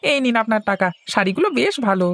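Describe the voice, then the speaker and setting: A woman's voice: medium pitch, big pitch swings, fast, a bit bright, dry recording. A woman voicing a pushy, insistent village shop customer in a cartoon. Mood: businesslike. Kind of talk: Bengali cartoon voice acting. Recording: music behind the voice.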